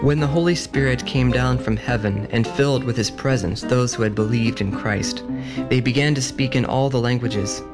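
A man giving a scripted devotional reading as a radio reader. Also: mid-range voice. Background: plucked guitar music.

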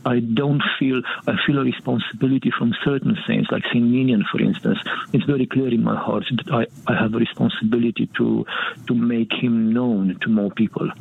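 A man from Romania, a monk priest, speaking as a guest in an earnest, reflective way, with a soft laugh now and then. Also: mid-range voice, fairly even intonation, fairly quick, creaky, slightly rough, phone-quality sound. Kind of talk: conversational interview.

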